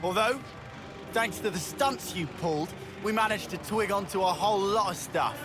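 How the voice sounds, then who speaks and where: A man's voice: sits high, moderate pace, natural voice. An actor playing a cheeky, blunt young male fighter in a game cutscene, colloquial and defiant. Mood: defiant, cocky. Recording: loud dramatic music behind.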